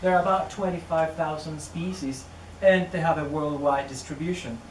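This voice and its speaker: A man's voice: a little high, steady tone, normal speed. Chilean man in his thirties, hesitant researcher giving a public talk, recorded from afar in an echoey, noisy room. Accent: Chilean Spanish. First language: Spanish. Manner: hesitant, many fillers and restarts. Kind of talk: public lecture. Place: indoor venue near a greenhouse. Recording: distant roomy recording with noise.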